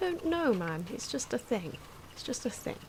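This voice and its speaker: A soft-spoken, hesitant woman giving ASMR commentary on her own drawing, with pencil and marker noises. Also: a little high, very animated, moderate pace, background noise. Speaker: artist narrating own drawing video.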